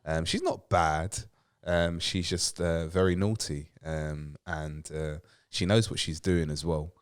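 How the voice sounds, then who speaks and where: A young man talks casually on a podcast. His voice sits very low, and he fills his sentences with hesitant "um"s and restarts. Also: fairly even intonation, slow, clean tone. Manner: hesitant, lots of fillers, breaks into laughter. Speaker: podcast co-host. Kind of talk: casual chat.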